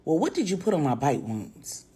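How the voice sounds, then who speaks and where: A woman's voice: low-pitched, sing-song, normal speed, dark tone, husky, exaggerated voice. A woman storyteller playing a stubborn, skeptical criminal man with an incredulous, challenging manner. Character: defiant, skeptical, wounded criminal man on the run.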